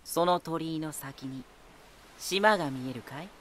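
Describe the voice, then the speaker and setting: Anime voice drama casting: ancient lighthouse spirit, male, youthful sound, soft, gentle, easygoing, familiar. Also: on the high side, a little slow, a little hiss or hum. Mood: gentle, guiding. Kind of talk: anime-style voice drama acting.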